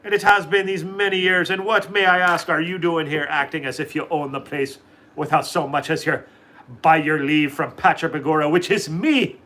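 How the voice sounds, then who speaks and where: A man puts on a testy storybook leprechaun, raised in pitch and bright in tone. He blusters and scolds as though every visitor is trespassing on his tree. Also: steady tone, normal speed, poor audio. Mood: indignant. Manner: indignant, blustering storybook voicing. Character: cranky male leprechaun guarding his tree home.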